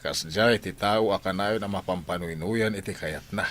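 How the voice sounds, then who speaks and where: A man's voice: low voice, moderate pace, fairly bright tone, a little hiss or hum. A man playing a worried, exasperated father and master of the house in an Ilocano radio drama, with an Ilocano accent. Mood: weary, exasperated.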